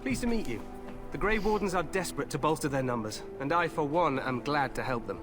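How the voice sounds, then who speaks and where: A man's voice: fairly high pitch, expressive, a little fast, over music, natural voice. An actor playing a cheerful, overconfident young human king, speaking in a hearty, regal way.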